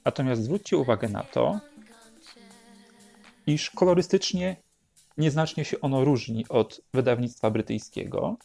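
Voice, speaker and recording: A man narrating an unhurried show-and-tell as host, in a measured, explanatory way. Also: medium pitch, animated, gravelly, mellow, faint music behind. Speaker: host presenting a record collection.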